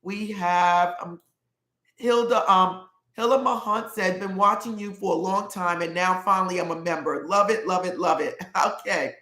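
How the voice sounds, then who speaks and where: A woman's voice: lowish voice, fairly even intonation, normal speed, fairly bright tone, roomy sound. A middle-aged woman with a nasal voice hosts a live stream, reading chat comments aloud in an upbeat way and often repeating herself. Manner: upbeat, repeats "love it" and "okay".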